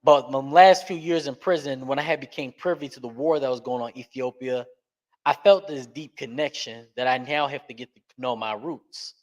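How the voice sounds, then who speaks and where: A man giving an interview on a podcast, talking earnestly and emphatically, speeding up and slowing down to stress points. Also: medium pitch. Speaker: podcast guest. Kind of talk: candid interview talk.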